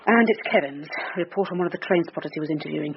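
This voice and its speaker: An actress playing a persistent police detective in a radio drama, brisk, probing and dryly sardonic. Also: female voice, low-pitched, vocal fry. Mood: insistent, businesslike. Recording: dull sound, faint background noise.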